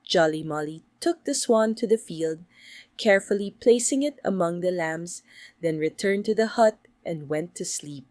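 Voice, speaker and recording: A woman's voice: fairly low pitch, lively intonation, moderate pace, a little mellow, no room sound. A woman reading a scripted bedtime story for children as its narrator.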